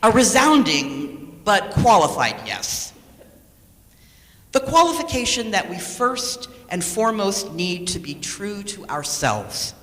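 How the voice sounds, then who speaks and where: A woman reading a scripted sermon as a lay speaker, measured and deliberate, pausing for emphasis. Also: medium pitch, big pitch swings, a bit bright. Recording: echoey hall with long reverb.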